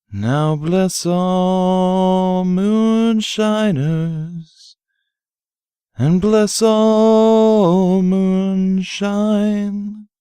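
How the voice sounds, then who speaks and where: Slow, smooth unaccompanied folk singer. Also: mid-range voice, mellow, dry recording.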